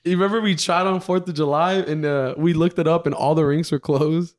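A man, chatting casually with friends, animated and laughing often. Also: a little high, brisk. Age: 20s. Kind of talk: casual chat among friends.

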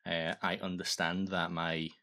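Man, 40s, very low pitch, halting casual talk, interview guest. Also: level tone, sharp tone. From United Kingdom. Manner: halting, repeats words as he thinks. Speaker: guest, audio drama director.

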